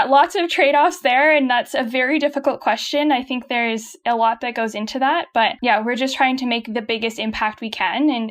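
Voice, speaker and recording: A young woman with a Canadian accent, a guest talking casually in an interview, upbeat and quick to laugh. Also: a little high. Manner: upbeat, laughs often, many yeahs and restarts. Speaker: guest from a carbon tech company. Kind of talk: conversational interview.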